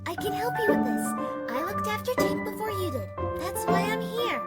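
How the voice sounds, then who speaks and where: A woman voicing a gentle, caring female pony who looks after animals, soothing and patient, in a fan audio drama. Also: very high-pitched, sing-song, moderate pace. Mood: reassuring. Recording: music under the voice.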